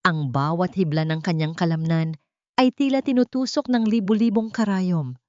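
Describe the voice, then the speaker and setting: A woman's voice: on the low side, normal speed, darkish tone. A woman narrating an audiobook drama in a solemn, melodramatic scripted style. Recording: dull lo-fi audio with room echo.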